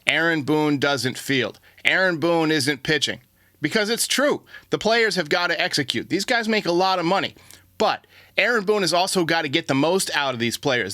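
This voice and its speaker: A man's voice: fairly high pitch, bright, forceful tone, no echo, studio quality. A man hosting a sports podcast, giving blunt, opinionated baseball commentary and answering listener voicemails.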